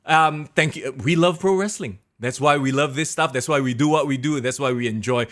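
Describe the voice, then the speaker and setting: A young man with a Singaporean English accent hosting a wrestling podcast alone, reading viewer comments in an excitable way, his voice going hoarse. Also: brisk. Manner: excitable, animated, drops to low mutters. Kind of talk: solo live-stream chat reading viewer comments.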